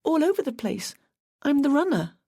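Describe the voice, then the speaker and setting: A woman's voice: very animated, normal speed, dark tone, dry recording, studio quality. A woman voicing a timid, tearful young woman in her twenties who sounds anxious and gushing. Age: 20s. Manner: high, sniffly, anxious and gushing.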